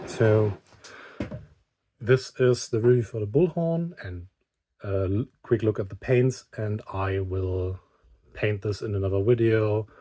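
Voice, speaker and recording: A man with a fairly low voice talks through an unscripted product review for a hobby video. His delivery is very slow and halting. He often pauses partway through a sentence before carrying on. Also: dark tone.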